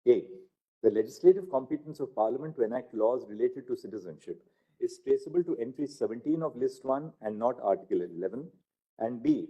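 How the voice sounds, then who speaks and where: A man reading a legal text aloud in a formal, measured way, as a narrator of a court judgment. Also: mid-range voice, warm tone, husky, low-quality recording.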